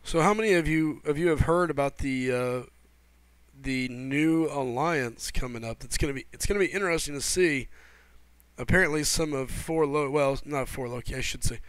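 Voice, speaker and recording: Hoarse laid-back male game streamer. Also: a little high, moderate pace, dry recording. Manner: laid-back, greets viewers by name as buddy and brother. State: sore throat from a head cold. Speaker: livestream host. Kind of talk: casual live gameplay chat, reading chat aloud.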